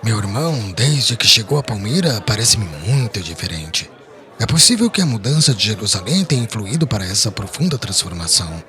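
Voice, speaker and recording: A man acts out a respectable older merchant in an audiobook drama, worried for his brother and given to long, formal speeches. His voice sits on the low side and moves in lively, expressive swings. Music plays underneath. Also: fairly quick, some chatter behind. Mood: concerned. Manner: earnest, formal, loquacious.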